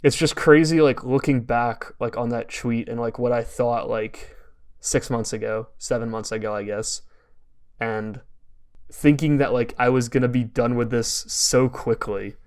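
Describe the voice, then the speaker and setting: A man's voice: medium pitch, fairly even intonation, measured pace, darkish tone, clear, resonant tone. A young adult man with an American accent co-hosting a podcast, talking casually in a rambling, storytelling way full of "like". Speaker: podcast co-host. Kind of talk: casual conversational podcast talk.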